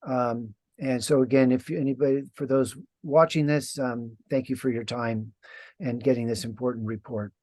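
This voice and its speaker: A man hosting an interview over a video call, speaking haltingly, with many fillers and restarts. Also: medium pitch, steady tone, mellow, no room sound. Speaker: interview host.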